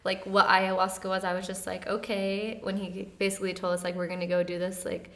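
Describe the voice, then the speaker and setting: Young woman guest, flat even delivery, soft-spoken and easygoing, casual interview talk. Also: mid-range voice, brisk. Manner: soft-spoken, relaxed, often laughing lightly, many "like" fillers.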